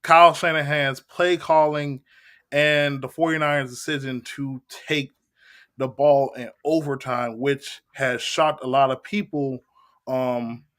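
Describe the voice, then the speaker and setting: A man's voice: medium pitch, a little slow. A man hosting a sports talk show, casually setting up a question for a co-host. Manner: relaxed, asks the questions.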